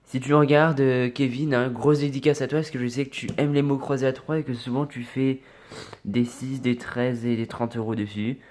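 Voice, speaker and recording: A man's voice: mid-range voice, steady tone, moderate pace, a little mellow. A man with a cold chatting casually to viewers as he scratches lottery tickets, often muttering and spelling out letters one at a time. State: has a cold. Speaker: scratch card vlogger.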